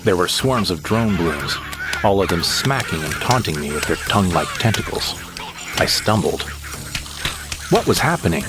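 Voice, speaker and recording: A man voicing a young sidekick who narrates his space adventure in a steady, descriptive first-person storybook style. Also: low-pitched, moderate pace, over music. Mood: narrating. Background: dramatic score.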